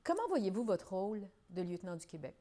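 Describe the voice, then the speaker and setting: A woman hosting a political interview, asking measured, precise questions. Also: mid-range voice, sing-song, warm tone. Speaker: interviewer, show host.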